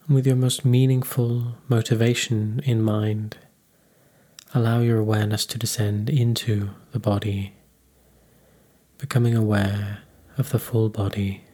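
A man leading a guided meditation in a calm, unhurried, soothing manner. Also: fairly low pitch.

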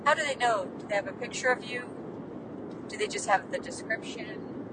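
A woman podcast host casually interviewing from a car on a road trip, curious and full of short questions. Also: normal speed. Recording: distant mic, loud car rumble.

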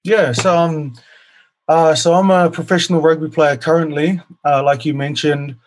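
A man in his thirties from New Zealand, a guest on an interview, answering casually and humbly with many pauses and fillers. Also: fairly high pitch, fairly even intonation, a little hiss or hum. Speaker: guest, professional rugby player.

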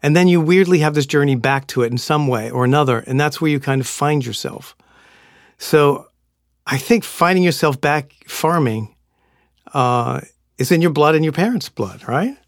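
A man hosting a podcast interview, chatty and curious, asking quick follow-up questions. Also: fairly high pitch, no echo, clean studio sound. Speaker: podcast host. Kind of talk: conversational interview.

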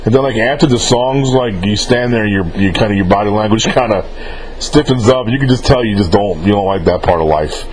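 A man's voice: lowish voice, brisk, low-quality recording. A man hosting a casual interview, informal and rambling, often rephrasing his questions as he goes. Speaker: interviewer relaying fan questions.

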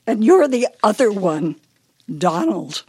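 An actress playing a forgetful elderly wife in a radio play, teasing and fretful with a deliberate, wistful manner. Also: female voice, mid-range voice, big pitch swings, a little mellow, slightly rough, full character voice. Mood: chiding, muddled.

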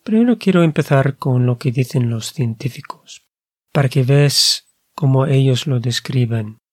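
A man's voice: mid-range voice, unhurried, no room sound, studio quality. A man hosting a podcast, speaking careful, earnest Spanish. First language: English. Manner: careful, earnest, slightly hesitant non-native Spanish. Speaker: podcast host. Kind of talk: slow reflective podcast monologue.

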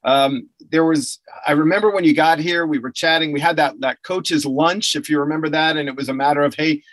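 A man's voice: on the high side, steady tone, fairly quick, fairly bright tone, dry recording, rough recording. Chatty enthusiastic male interviewer, dry sound. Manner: chatty, enthusiastic, many fillers. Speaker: podcast host interviewing athlete and coach. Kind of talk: casual interview.

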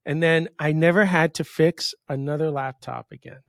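A man's voice: fairly high pitch, fairly even intonation, normal speed, a crowd in the background. A man in his fifties from New York hosting a casual podcast interview, telling rambling stories and interrupting himself. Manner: rambling, storytelling, self-interrupting. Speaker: podcast host.

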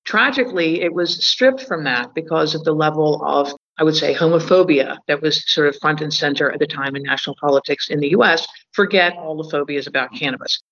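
A woman's voice: sits low, fairly quick, few pauses, a bit bright. A woman in her fifties with an American accent talks casually through a slightly dull, lo-fi line. She rambles and thinks aloud, padding her talk with fillers. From United States. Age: 50s. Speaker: guest, cannabis journalist.

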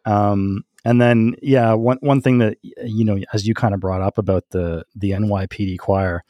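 Low-voiced man chatting casually, close and dry. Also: steady tone, mellow, no echo. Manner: halting, chuckles often while talking. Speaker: podcast co-host. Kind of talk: casual chat, telling music trivia and anecdotes.

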